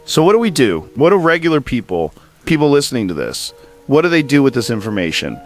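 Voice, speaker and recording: Resigned survivor host, acted by a man, average pitch, hesitant delivery, soft music underneath. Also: wildly swooping pitch, a little fast. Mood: uncertain. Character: weary, uncertain male survivor running makeshift broadcast. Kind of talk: apocalyptic audio drama acting.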